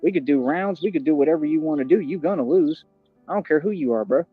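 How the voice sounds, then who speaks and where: A man's voice: on the high side, fast, breathy edge, no room sound, soft background music. A man ranting boastfully and confrontationally on a livestream, heavy on slang. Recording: dull, dry lo-fi stream audio.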